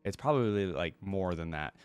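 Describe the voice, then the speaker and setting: A man's voice: low-pitched, animated, normal speed, clear, resonant tone, no room sound. A man hosting a reaction video, reading stories aloud and commenting informally, with exclamations and rephrasing as he goes.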